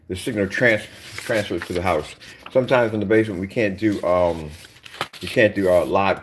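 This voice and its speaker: A man with a deep morning voice, casually showing his screen paint projects around his home, talking loosely with many fillers and restarts. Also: a little hiss or hum. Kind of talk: casual walkthrough vlog. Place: at home, moving between rooms and basement.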